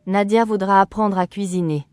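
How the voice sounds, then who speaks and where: A voice reading short phrases slowly and evenly for learners, carefully enunciated and repeated. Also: medium pitch, fairly even intonation, clean tone, no room sound, faint music behind. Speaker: French phrase reader.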